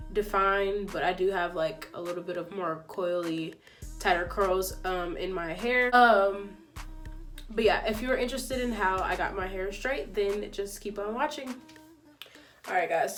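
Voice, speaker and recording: A young woman with a stuffy, congested nose, chatting casually through a hair tutorial with lots of ums and likes. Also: medium pitch. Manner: chatty, filler-heavy, relaxed. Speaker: beauty vlogger.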